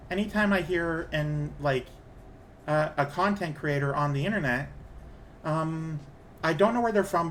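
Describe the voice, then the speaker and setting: A man's voice: on the high side, slight background noise. A man streaming a game, chatting casually in a rambling way and often restarting his sentences. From Canada. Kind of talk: casual chat while gaming.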